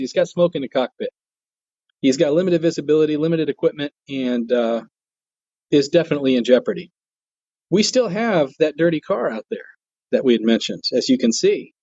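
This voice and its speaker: A man with a mellow, relaxed voice talks as an interview guest about his job. He is earnest and folksy, explaining things conversationally. The sound is dry and a little muffled, like modest interview audio. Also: medium pitch, dry recording. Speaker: guest, air traffic control tower manager.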